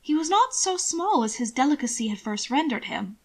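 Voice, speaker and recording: A woman reading scripted fiction aloud as narrator, in a measured, expressive storytelling manner. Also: high voice.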